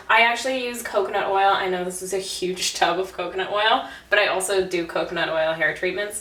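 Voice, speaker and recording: A woman's voice: mid-range voice, fairly bright tone. A young woman, a bit stuffed up and congested, chatting casually to camera in a self-deprecating, laughing way as a beauty vlogger at home. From Canada. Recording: roomy, slightly distant audio.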